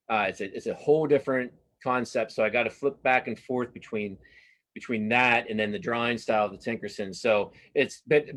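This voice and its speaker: A man with a fairly low voice talks casually as a guest in an interview. He rambles a little, restarting and repeating phrases as he works out his answers. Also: fairly bright tone. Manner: halting, rambling, self-correcting with repeated phrases. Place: live online video conversation.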